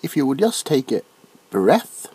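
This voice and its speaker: A middle-aged man playing a nervous, bewildered, awkwardly polite doctor in a soft-spoken comedic ASMR roleplay, stammering and repeating himself. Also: mid-range voice, big pitch swings, a little slow, husky. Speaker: ASMR performer.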